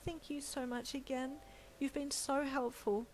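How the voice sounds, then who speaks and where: A woman voicing a gentle, emotional young pregnant wife who speaks softly and gratefully. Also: high-pitched, flat delivery, a little slow, a little hiss or hum, voice pushed up, poor audio. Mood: tender. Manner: soft, tearful and grateful.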